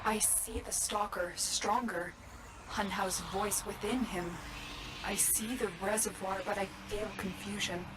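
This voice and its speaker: Woman acting a solemn anxious guide lady from a sci-fi game, medium pitch, noisy capture. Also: steady tone, measured pace, lightly acted. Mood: troubled. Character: grave, anxious female guide commanding warrior operatives. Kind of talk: scripted video game voice acting.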